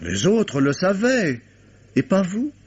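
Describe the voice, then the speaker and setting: A man playing an investigating judge who questions a suspect in a measured, dry way, in a dull lo-fi old radio drama. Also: high-pitched, wildly swooping pitch, a little mellow, husky, exaggerated voice. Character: calm, probing male investigating judge.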